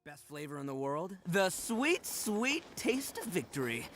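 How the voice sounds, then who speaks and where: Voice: mid-range voice, big pitch swings, unhurried, fairly bright tone, a little hiss or hum. An actor playing a smug, playful braggart in a video game, with a theatrical way of talking. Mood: gloating. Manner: boastful, theatrical. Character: boastful, playful, smug snack champion.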